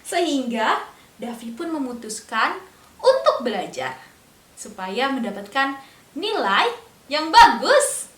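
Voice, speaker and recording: A young woman telling a picture-book story to small children, in a slow sing-song way, stopping to ask them questions. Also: high voice, big pitch swings, fairly bright tone, far from the mic, a little hiss or hum. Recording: echoey room, a bit off-mic.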